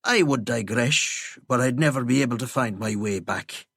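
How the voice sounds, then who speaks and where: A man voicing an eccentric, rambling old man with a thick Kerry Irish accent, drawling slowly and whimsically. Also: medium pitch, creaky, a bit bright, dry recording, studio quality.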